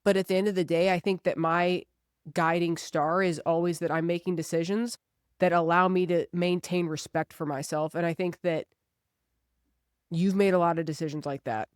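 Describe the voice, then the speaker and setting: A woman's voice: on the low side, fairly even intonation, moderate pace, smooth, dry recording, clean studio sound. A woman in her thirties speaking as a podcast co-host in casual, reflective conversation, earnest and emphatic, repeating phrases to drive points home. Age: 30s.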